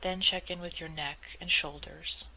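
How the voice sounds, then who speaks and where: A woman leading a guided meditation in a measured, gently instructive way, as calm spoken instruction. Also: lowish voice, rough recording.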